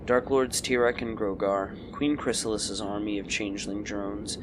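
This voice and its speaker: Low-voiced male narrator, noisy recording. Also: flat delivery, moderate pace. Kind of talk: scripted story narration.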